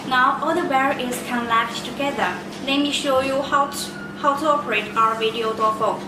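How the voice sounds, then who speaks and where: Female product presenter, slightly high pitch, simple non-native phrasing, recorded in a very echoey room. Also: steady tone, moderate pace. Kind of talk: product demonstration, step-by-step explanation. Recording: echoey room, distant mic, loud noise.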